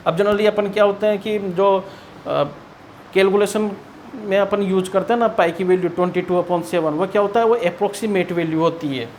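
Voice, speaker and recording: A man's voice: sits high, fairly even intonation, normal speed, a little mellow, slight background noise. An Indian man teaching maths in an explanatory lesson style, asking and answering his own questions and repeating key points.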